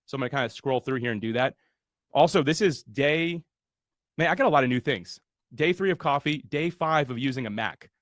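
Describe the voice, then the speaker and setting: A man's voice: mid-range voice, a little fast, fairly bright tone, no echo, studio quality. A man hosting a webinar launch party, speaking earnestly and motivationally with casual fillers. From USA. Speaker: host.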